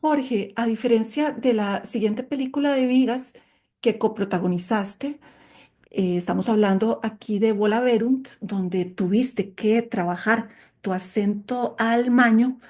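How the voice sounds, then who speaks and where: A woman interviewer asking a prepared question in a fairly high voice with a dark, mellow tone. She speaks in a measured, deliberate way.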